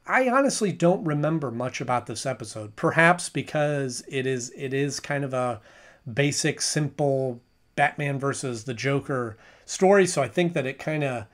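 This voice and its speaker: A man in his thirties giving a casual, conversational episode review to camera in a small room at home, often restarting his sentences. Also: medium pitch. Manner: conversational, with frequent restarts and hedging. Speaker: show reviewer.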